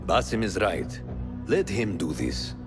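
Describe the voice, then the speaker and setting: A man playing a grave, cautious assassin mentor in a video game, speaking with deliberate authority. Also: low voice, sing-song, music in the background. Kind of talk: dramatic video game acting.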